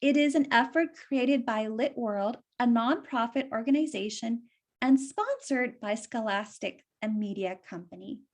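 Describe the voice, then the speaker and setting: High-pitched woman reading to children. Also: a little slow. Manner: explanatory, addresses children simply. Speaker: children's author reading aloud and presenting. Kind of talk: children's story read-aloud and explanation.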